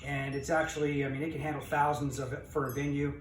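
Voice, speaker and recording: A man's voice: medium pitch, little pitch movement, fast talker. Middle-aged man rambling casually, distant and echoey. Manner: rambling, folksy. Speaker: vlogger reselling auction finds. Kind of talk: casual unscripted project walkthrough. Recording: roomy, distant mic, background noise.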